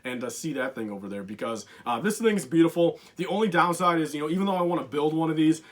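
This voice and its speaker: A man's voice: on the high side, expressive, fast talker. A young man in a casual countdown vlog, rambling with many fillers, enthusiastic, and losing his voice. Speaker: LEGO collector YouTuber.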